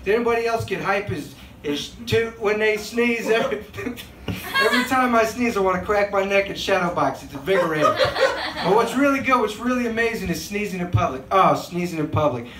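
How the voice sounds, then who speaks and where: A young man performing a stand-up set on a handheld mic in a small club, animated and acting out excited bystanders. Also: high voice, brisk. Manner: animated, acts out bystanders with big excited outbursts. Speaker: stand-up comedian. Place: small comedy club stage, indoors. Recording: echoey club room with crowd noise.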